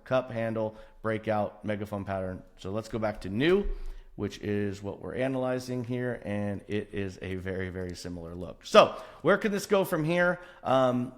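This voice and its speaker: Low-pitched man giving emphatic chart commentary. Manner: emphatic, repeats intensifiers, checks in with "right?". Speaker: stock trading analyst presenting a chart breakdown. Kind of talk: unscripted chart walkthrough commentary.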